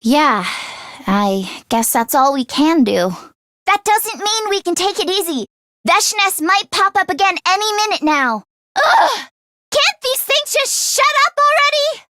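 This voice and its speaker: Very high-pitched nervous teenage game girl. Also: a little slow, dry recording, studio quality, full character voice. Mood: serious, uneasy, then alarmed, exasperated. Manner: expressive, casual youthful phrasing. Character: teenage girl, nervous, queasy, handy academy fighter. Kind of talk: English video game voice acting.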